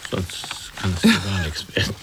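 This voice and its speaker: A man in a studio speaking informally or reading out in halting, clipped pieces, on hissy tape. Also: deep voice, huge pitch swings.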